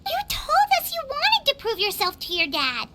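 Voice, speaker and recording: Squeaky, very high-pitched childlike fairy. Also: female voice, wildly swooping pitch, normal speed, very noisy, heavily acted. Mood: encouraging. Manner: chirpy, exclaiming, pushy encouragement. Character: tiny cheerful floating fairy companion, childlike and chatty. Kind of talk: video game voice acting.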